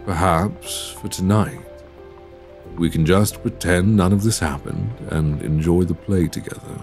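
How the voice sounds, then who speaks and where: A man playing a tormented, courtly young Victorian vampire in an ASMR roleplay, speaking tenderly and formally, close to a binaural microphone. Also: darkish tone. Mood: resigned, tender. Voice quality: very deep, breathy, husky. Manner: formal, old-fashioned, measured phrasing. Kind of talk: ASMR roleplay audio drama acting.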